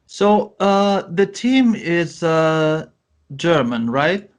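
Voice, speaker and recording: A man's voice: fairly high pitch, expressive, very slow. A man hosting a podcast, asking long, rambling questions full of fillers and restarts. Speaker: podcast host, interviewer. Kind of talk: casual interview questions.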